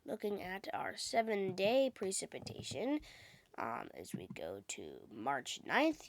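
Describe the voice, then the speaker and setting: A woman who is a little sick, giving an informal, explanatory amateur weather forecast as she talks through maps. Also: on the low side. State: sick with a cold. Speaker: amateur weather vlogger, host. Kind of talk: casual weather forecast commentary over maps.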